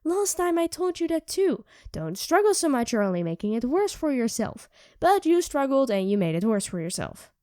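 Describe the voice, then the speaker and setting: A woman voicing a sardonic, teasing female vampire who mocks and coaxes in an ASMR roleplay, dropping into soft whispers, close to the mic. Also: high-pitched, very animated, moderate pace, few pauses, no room sound. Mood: coaxing.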